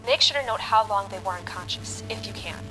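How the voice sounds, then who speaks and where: A woman playing a matter-of-fact medical broadcaster, giving measured, precise instruction in an audio drama. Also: medium pitch, music in the background, natural voice, rough recording. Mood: clinical, instructive. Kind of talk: scripted instructional radio broadcast.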